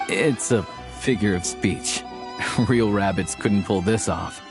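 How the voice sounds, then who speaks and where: A man's voice: lowish voice, slow, loud music behind the voice. A man voicing an easygoing, friendly young hunter in a video game, with a casual, wry manner. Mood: amused, wry.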